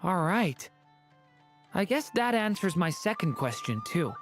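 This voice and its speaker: A woman voicing an earnest, gentle young boy in a video game, polite and hesitant. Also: lowish voice, sing-song, a little slow, faint music behind. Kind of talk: video game character acting.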